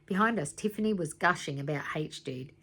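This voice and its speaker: A woman reading a children's story aloud in an expressive, dramatic teacherly way, with asides to young listeners. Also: deep voice, fairly quick, breathy edge. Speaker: teacher reading aloud to primary school students.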